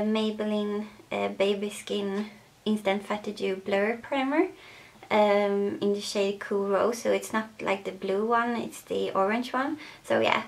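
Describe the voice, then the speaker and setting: A woman's voice: mid-range voice, creaky. A young woman from Sweden with a Swedish accent, chatting casually to camera about makeup, hesitant and full of fillers, in a bedroom. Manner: hesitant, many fillers, soft laughs. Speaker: beauty vlogger. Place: bedroom, indoors.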